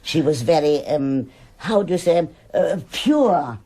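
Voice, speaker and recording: An old woman in a radio drama, stubborn and quick to judge. Her husky, voice moves slowly but swings widely in pitch, halting as she searches for words. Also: lively intonation, warm tone, heavily acted. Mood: surprised, chatty. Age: older. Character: stubborn, defensive old German woman, gossipy neighbour.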